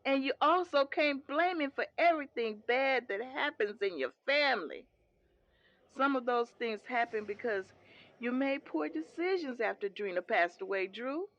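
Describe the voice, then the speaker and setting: An actress playing a devout mother who softly counsels her son, calling him baby. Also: sits high, expressive, moderate pace, muffled recording. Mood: pleading. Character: devout church mother, older woman, gently firm. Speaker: mother of the lead. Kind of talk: audio drama acting.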